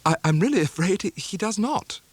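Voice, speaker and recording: A timid young mother from a classic storybook, voiced by a male narrator. The voice is light and raised, with a wavering rise and fall. She speaks gently and falters anxiously. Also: high voice, expressive, a little fast, slightly rough, no echo, pitched above the performer's own voice.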